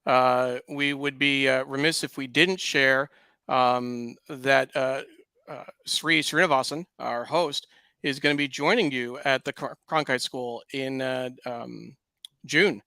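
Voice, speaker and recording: A male host of an online talk show speaks in a bright, gravelly voice that sounds crisp and close. He stalls often with uhs and ums as he sets up the next segment. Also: mid-range voice, fairly even intonation, takes it slowly, no room sound. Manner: hesitant, heavy on uh and um. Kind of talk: casual interview chat.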